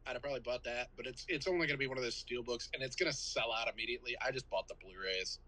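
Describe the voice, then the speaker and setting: Male podcast co-host, bright tone, rambling and self-mocking. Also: mid-range voice, rapid-fire, low-quality recording. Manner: rambling, filler-heavy, self-deprecating. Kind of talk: casual movie chat.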